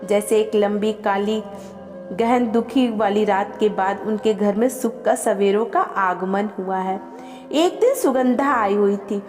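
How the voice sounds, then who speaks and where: A woman reading a scripted, emotional family story as an expressive storyteller. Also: medium pitch, takes it slowly. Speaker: storyteller and channel host. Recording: long reverb with music behind. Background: background music.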